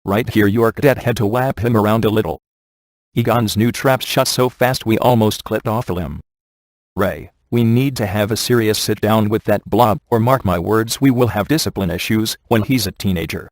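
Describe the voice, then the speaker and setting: Smug, sarcastic male ghost hunter played by a male actor for a comedy video game; deadpan wisecracks, very dry studio sound. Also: lowish voice, normal speed, no room sound, close to an everyday voice.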